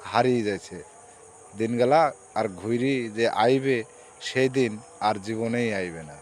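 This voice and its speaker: A man with a mid-pitched voice and a rural Bengali accent speaks over faint ambient noise and chirping insects. He talks to his viewers in a casual, reflective monologue. His manner is plain and moralizing, often circling back to repeat his point. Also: darkish tone. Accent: rural Bengali dialect. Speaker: social media vlogger.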